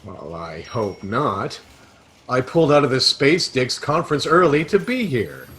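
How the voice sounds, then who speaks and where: A man acting as a self-important, bookish space adventurer, deliberate and pedantic, in a sci-fi satire audio drama. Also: medium pitch, big pitch swings, fairly bright tone. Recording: noisy home video-call audio.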